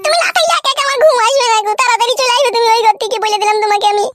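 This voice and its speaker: A woman's voice: very high-pitched, rapid-fire, fairly bright tone, slight background noise. A woman voicing a jealous, demanding young wife who whines and nags in a comic cartoon. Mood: pouting, pleading.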